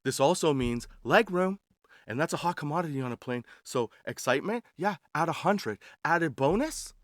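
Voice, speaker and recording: A man's voice: on the high side, animated, moderate pace, fairly bright tone, dry recording, clean studio sound. A man telling casual comedic stories in a slangy, crude, sarcastic way. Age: 40s. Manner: slangy, crude, sarcastic, addressing listeners as dude. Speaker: podcast host telling travel stories.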